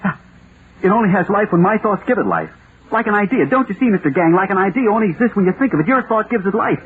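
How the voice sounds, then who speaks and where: A man's voice: high-pitched, big pitch swings, quick pace, a little hiss or hum. Distraught accused man, muffled old radio. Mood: frantic, hysterical. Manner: pleading, intense, rising into hysteria. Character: distraught accused man insisting a monster is real. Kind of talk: old-time radio drama acting.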